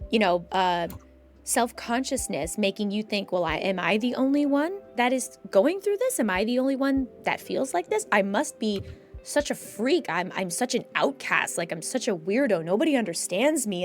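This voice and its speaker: A woman hosting a conversational discussion, explaining things in an earnest, emphatic way. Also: medium pitch. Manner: earnest, emphatic, explanatory, voices imagined quotes. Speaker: podcast host and mental health professional. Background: background music.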